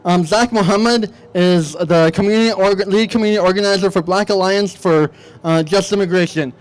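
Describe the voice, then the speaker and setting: Male event host, high and very clean-toned voice, handling speaker introductions at a rally. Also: brisk, low-quality recording.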